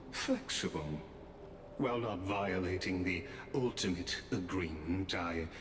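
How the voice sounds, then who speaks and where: An actor voicing an ancient, enigmatic male watcher in a video game, speaking with formal, measured politeness and deliberate pauses. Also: low-pitched, background noise. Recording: echoing space, music underneath.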